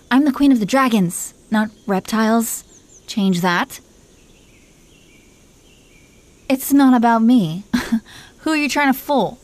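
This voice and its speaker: A woman's voice: medium pitch, darkish tone, close to an everyday voice. A woman playing a possessive, teasing dragon queen in an intimate roleplay audio, commanding yet doting toward her husband. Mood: wounded, indignant. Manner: playful, commanding, mock-sweet.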